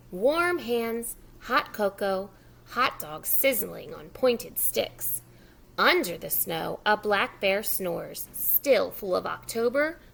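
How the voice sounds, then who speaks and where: Animated woman reading a storybook to preschoolers. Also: on the high side, gravelly, slight background noise. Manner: expressive, encouraging, simple child-directed talk with prompts to repeat words. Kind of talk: children's picture-book read-aloud with asides to young listeners.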